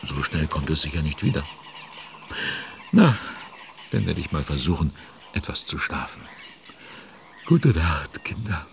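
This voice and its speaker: An actor as the droll narrator of a children's audio drama, musing aloud and commenting conversationally. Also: male voice, a bass voice, very animated, unhurried, creaky, long pauses, a little hiss or hum, exaggerated voice. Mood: musing, settling down. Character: droll storybook narrator who watches and comments along.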